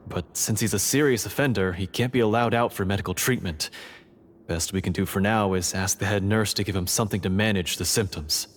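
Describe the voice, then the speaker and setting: A man voicing a brisk, blunt prison guard in a game. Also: lowish voice, lightly acted. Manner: brisk, blunt, polite to superiors. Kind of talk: game voice acting.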